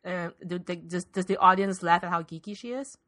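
A woman's voice: lowish voice, steady tone, a little fast, bright, forceful tone. Emphatic woman in casual debate. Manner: emphatic, repeats words for stress. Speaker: podcast co-host.

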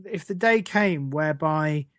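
A man's voice: fairly high pitch, lively intonation, unhurried, fairly bright tone, dry recording. Scornful English man ranting, dry close sound. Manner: sweary, scornful, sarcastic. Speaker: podcast host. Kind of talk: casual comic rant.

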